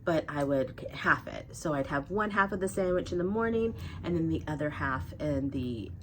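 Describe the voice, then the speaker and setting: Young woman vlogger, congested from a cold, lowish voice, chatty, faint room noise. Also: animated, normal speed. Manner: chatty, talks straight to her viewers. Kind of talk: casual weight loss update.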